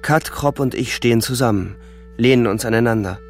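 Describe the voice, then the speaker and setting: An actor playing a weary young German frontline soldier, narrating the war in a grim, terse literary radio-drama style. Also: male voice, mid-range voice, fairly quick, faint music behind, natural voice. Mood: bleak, bitter.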